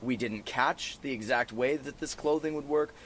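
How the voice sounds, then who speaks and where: A man's voice: medium pitch, normal speed, fairly bright tone, a little hiss or hum. A man in a casual podcast conversation, swearing casually, recorded outdoors on a porch. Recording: background ambience outdoors on a porch.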